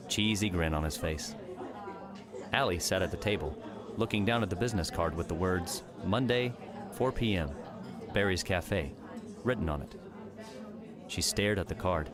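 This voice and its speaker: Deep voiced guy narrating an audiobook story, music playing behind.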